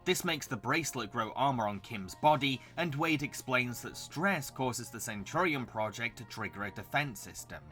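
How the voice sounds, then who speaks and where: A young man narrates a countdown-style review with enthusiasm. His voice is bright and forward, mid-pitched, over soft background music. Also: animated, normal speed, hardly any pauses. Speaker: host and narrator of a cartoon recommendation video. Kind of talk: scripted review narration with casual asides.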